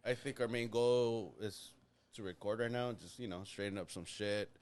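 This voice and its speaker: A man's voice: fairly low pitch, moderate pace, darkish tone, clear, resonant tone. A man talking as a podcast guest and band member, loose and casual, swearing freely and calling people dude. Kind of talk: casual chat.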